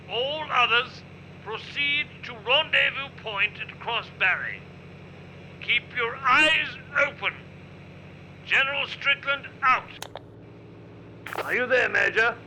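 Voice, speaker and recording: An actor playing a commanding, ruthless British army general in a radio play, with clipped, authoritative delivery. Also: male voice, very high-pitched, lively intonation, slow pace, pauses often, pushed and bright, a little hiss or hum, heavily acted, low-quality recording. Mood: stern, commanding. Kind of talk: radio play acting, military radio exchange.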